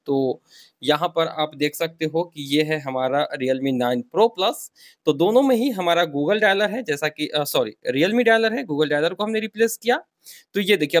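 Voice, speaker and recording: Higher-voiced man giving a tech tutorial. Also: dry recording, clean studio sound. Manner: explanatory, addresses viewers directly. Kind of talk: informal step-by-step tutorial voice-over.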